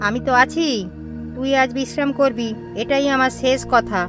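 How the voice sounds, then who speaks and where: A woman voicing a loving, fussy older aunt in an animated horror story. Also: high voice, moderate pace, music in the background, natural voice. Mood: fussy scolding. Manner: scolding, doting, motherly. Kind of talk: cartoon voice acting.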